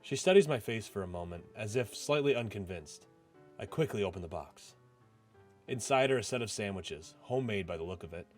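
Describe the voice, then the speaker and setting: A man with a fairly low voice narrates a first-person story from a visual novel. He reads it aloud as the host, with music playing behind him. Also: animated, brisk, a little mellow.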